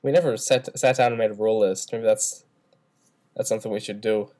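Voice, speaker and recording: A man's voice: on the low side, steady tone, fairly quick, mellow. A young man with a stuffy nose and a sore throat, co-hosting a casual podcast by phone with playful, teasing banter. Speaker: podcast co-host. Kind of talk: casual chat.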